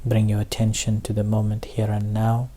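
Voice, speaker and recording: A man leading a guided meditation as a teacher, speaking gently and haltingly in short phrases with long pauses. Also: on the low side, monotone. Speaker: Buddhist meditation teacher leading a group session. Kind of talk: guided meditation instruction, unscripted.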